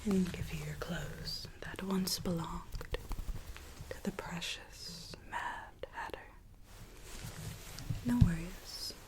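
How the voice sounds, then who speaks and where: Conspiring fairytale Alice, played by a woman, ASMR roleplay. Breathy whisper, hushed, coaxing, softly menacing. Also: on the low side, fairly even intonation. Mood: coaxing, scheming. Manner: hushed, slow, coaxing, quietly sinister. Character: whispering mad Alice, conspiring captor seeking her true self.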